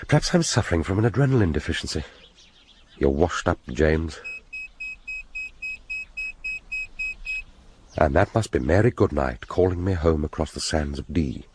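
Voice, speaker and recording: A jaded male secret agent in a radio play. His English voice is very low and slightly rough. He speaks with weary, understated politeness, as if little excites him. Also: heavily acted. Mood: listless, brooding. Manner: weary, dry, understated, deferential to superiors. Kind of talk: radio drama acting with inner monologue.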